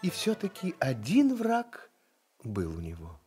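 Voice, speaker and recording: A man narrating a radio play as a storyteller, with an expressive, confiding, dramatic delivery. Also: mid-range voice, slow, faint music behind.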